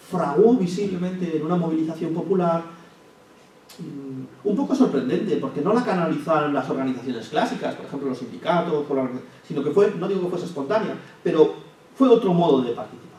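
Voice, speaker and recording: A man's voice: on the high side, dark tone. Man lecturing in an echoey hall. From Spain. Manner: measured, thinking aloud with many restarts and hesitations. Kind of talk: public lecture. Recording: echoey hall, from far off, faint hiss.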